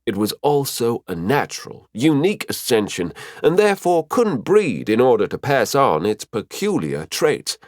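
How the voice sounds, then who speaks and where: Casting: man as female high spirit, cheerful know-it-all guide, high lilting pitch, lively swings, storybook fantasy. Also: normal speed, few pauses, dry recording, clean studio sound. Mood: explaining. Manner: chatty, explanatory, fond. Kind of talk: audiobook character voice.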